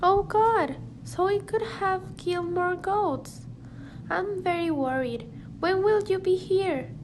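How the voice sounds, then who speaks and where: A woman playing a frightened farmer lady in an amateur radio drama, speaking slowly and deliberately. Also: extremely high pitch. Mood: anxious pleading. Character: worried farmer lady frightened for her killed goats. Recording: noisy amateur home recording.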